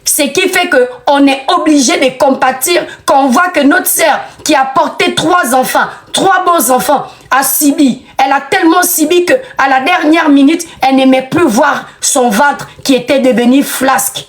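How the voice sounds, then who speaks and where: A woman's voice: high-pitched, measured pace, hardly any pauses. A woman giving an outspoken commentary monologue, emphatic and scolding, repeating phrases for effect.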